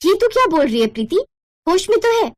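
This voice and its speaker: A woman's voice: extremely high pitch, big pitch swings, fairly quick, pitched above the performer's own voice. A woman voicing a bossy elder sister-in-law in a story, scolding in a stern, snappish manner. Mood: shocked, angry.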